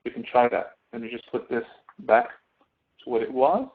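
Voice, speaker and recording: A man's voice: mid-range voice, very animated, moderate pace, gravelly. Tinny phone-like male instructor. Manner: step-by-step, thinking aloud while working. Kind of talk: screen-recorded spreadsheet tutorial, explanatory. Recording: tinny phone-like narrow-band audio.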